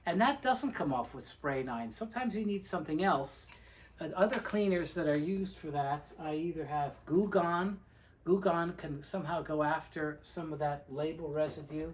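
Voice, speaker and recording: A man's voice: fairly high pitch, normal speed, slight background noise. A man presenting an informal how-to video, explaining things step by step in a methodical, plain-spoken way. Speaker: presenter of a how-to video on restoring tape reels. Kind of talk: informal instructional demonstration.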